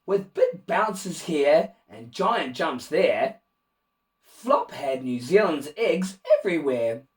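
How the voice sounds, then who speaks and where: A man reading a rhyming children's picture book aloud in an expressive, animated way, with asides to young listeners. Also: fairly high pitch, slow, recorded from a distance. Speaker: children's storybook reader and host.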